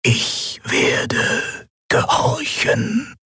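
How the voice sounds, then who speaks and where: A man voicing a damaged, servile machine intelligence that stutters in a faint, breathy whisper. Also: drawn-out words, sharp tone, no echo, studio quality. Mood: faltering.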